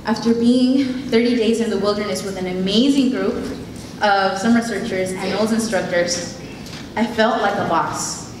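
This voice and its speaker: A woman's voice: mid-range voice, normal speed, background noise. A young woman whose first language is Spanish, giving a heartfelt prepared keynote speech at an event. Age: late teens. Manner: earnest, heartfelt, reading from notes. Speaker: keynote speaker. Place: event hall. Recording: echoey hall recorded from a distance.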